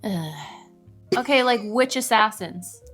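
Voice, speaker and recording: A woman co-host in casual, opinionated conversation with a friend, expressive and stretching words for emphasis. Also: fairly high pitch, takes it slowly, husky, soft background music. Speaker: co-host of a bookish video show.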